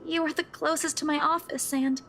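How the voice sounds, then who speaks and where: Hesitant, very high-voiced young woman. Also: fairly even intonation, a little fast, a bit bright. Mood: shaken, apologetic. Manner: hesitant, stammering. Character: shaken, apologetic young woman, hesitant and stammering. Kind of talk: visual novel game acting.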